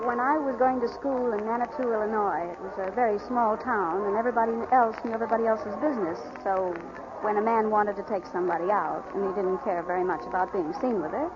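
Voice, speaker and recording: A woman's voice: medium pitch, natural voice. An actress playing a spirited young working woman in love, direct and wry, in 1940s radio style. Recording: muffled, noisy 1941 radio recording.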